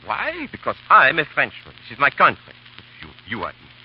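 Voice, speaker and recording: An actor playing an earnest, loyal French gentleman in emphatic 1930s radio drama style. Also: medium pitch, wildly swooping pitch, a little fast, husky, exaggerated voice. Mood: urgent, earnest. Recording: muffled, hissy 1930s radio broadcast.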